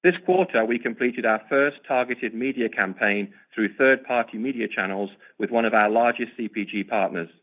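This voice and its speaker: Male executive for corporate earnings remarks, mid pitch, formal and measured, heard over a narrow conference-call line. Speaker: company executive.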